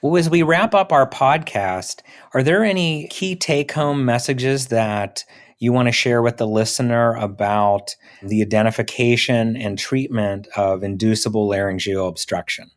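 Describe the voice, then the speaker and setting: A man's voice: mid-range voice, moderate pace. A man who is a physician hosting a medical podcast, talking conversationally with informal, affirming phrasing.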